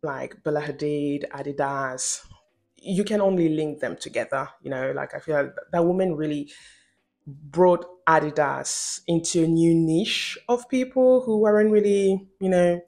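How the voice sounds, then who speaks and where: A woman's voice: low-pitched, unhurried, vocal fry, darkish tone. A young woman talking casually and emphatically to camera in an informal, slangy video essay style, recorded in a room at home. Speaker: video essayist and channel host.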